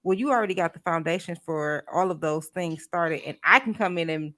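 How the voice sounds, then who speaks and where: A woman in casual podcast chat who asks pointed questions and gets animated when she quotes others. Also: fairly low pitch, brisk.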